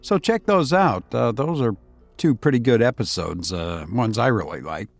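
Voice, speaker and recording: A man hosting a podcast and telling stories informally in the first person, with a halting, hesitant delivery. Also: mid-range voice, lively intonation, vocal fry, dry recording, studio quality, faint music behind. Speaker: podcast host and narrator.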